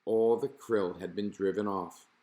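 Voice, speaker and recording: Male storybook narrator, slightly low register, reading aloud for children. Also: steady tone, a little slow, warm tone, highs cut off. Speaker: storybook reader.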